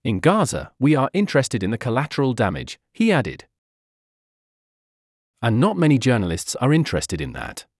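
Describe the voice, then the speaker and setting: A man reading a news article aloud in an even, measured way. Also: mid-range voice, no room sound, clean studio sound. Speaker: article narrator.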